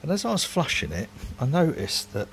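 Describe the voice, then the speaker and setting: A man's voice: on the high side, wildly swooping pitch, a little fast, fairly bright tone, a little hiss or hum. A man, rambling casually and self-mockingly while filming his home brewery workshop on a handheld phone.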